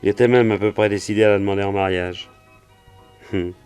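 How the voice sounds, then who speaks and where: An actor playing an anxious, plain-spoken working man who is a witness in a French radio crime drama, talking in a halting, colloquial way. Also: lowish voice, fairly even intonation, a little mellow, clean tone, soft background music, lightly acted. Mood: explanatory.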